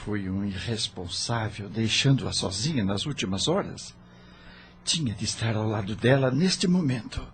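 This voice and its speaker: A man's voice: lowish voice, animated, moderate pace, background noise. A man playing an earnest young country doctor and husband in an audio drama. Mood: remorseful.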